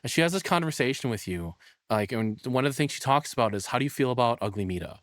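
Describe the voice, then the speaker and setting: A man's voice: mid-range voice, expressive, fast, fairly bright tone, no room sound. A man giving casual, rambling and opinionated reaction commentary in an informal way. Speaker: reaction streamer.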